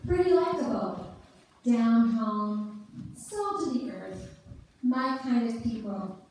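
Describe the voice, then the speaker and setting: In a very echoey hall, a folksy female narrator read by a woman speaks slowly to the audience. Her voice is fairly high and comes from far off. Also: animated, darkish tone. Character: folksy female narrator of a small-town play. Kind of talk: scripted stage narration. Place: church sanctuary stage, far camera. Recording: very echoey church hall from far off, faint noise.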